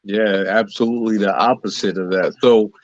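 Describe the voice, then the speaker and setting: A man answering as an interview guest in a halting, explanatory, conversational way, pausing between phrases. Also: lowish voice, fairly even intonation, no echo, highs cut off. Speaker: interview guest, playwright and lead actor.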